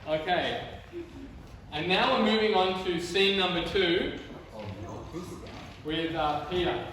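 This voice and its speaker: Guy leading youth group bible talk, kinda high voice, big echoey hall, chatty. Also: slow pace, a bit bright. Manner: conversational, addressing the group with questions. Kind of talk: informal youth talk with scripture reading. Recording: very echoey hall, distant mic, faint noise.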